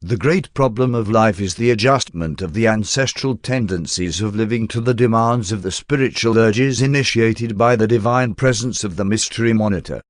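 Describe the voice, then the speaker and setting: A man reading a spiritual text as an audiobook narrator in a formal, measured and solemn manner. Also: lowish voice, dry recording, clean studio sound.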